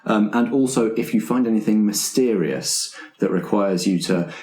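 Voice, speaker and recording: A low-pitched man serves as game master for a tabletop roleplaying game. He lays out the rules to his players in a halting, explanatory way. The sound is roomy, with clear echo. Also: a little mellow. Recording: roomy, echoing room sound.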